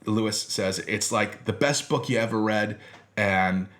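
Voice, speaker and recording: A man's voice: on the low side, unhurried, a bit bright. An American man giving an informal philosophy lecture in a conversational, emphatic way, as a teacher presenting to an audience he addresses directly.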